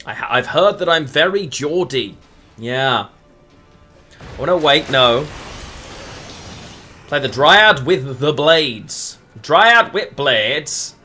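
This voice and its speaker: A man's voice: a little high, expressive, slow, long pauses, bright, forceful tone, faint music behind. A man from England streaming a card game, thinking aloud between moves and answering chat casually with lots of murmured fillers. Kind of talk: casual live-stream chat while playing.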